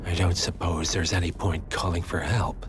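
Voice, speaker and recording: An actor playing a smug, cornered villain in a video game, speaking in a dry, polished, condescending way. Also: male voice, low voice, flat delivery, normal speed, natural voice. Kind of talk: video game cutscene acting. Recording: ambient noise and a vehicle behind.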